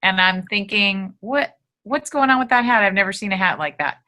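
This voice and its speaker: A woman co-hosting a school's morning news, giving clear directions to students and asking the guest questions. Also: medium pitch, expressive, normal speed, pushed and bright. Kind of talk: school announcements.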